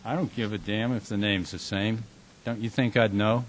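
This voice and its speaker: A gruff, husky-voiced bar owner, acted by a man for a radio play. He is fed up and keeps order with short, blunt commands. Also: lowish voice, steady tone, normal speed, lightly acted. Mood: irritated. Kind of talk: radio drama acting.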